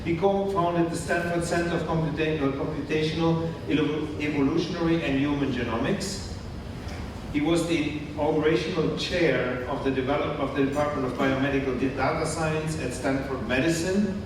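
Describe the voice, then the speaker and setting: Halting man introducing a speaker. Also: on the high side, fairly even intonation, distant mic. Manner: halting, stumbles over names and words. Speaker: event host introducing the speaker. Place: large conference hall. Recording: echoey hall, mic heard from afar, noisy.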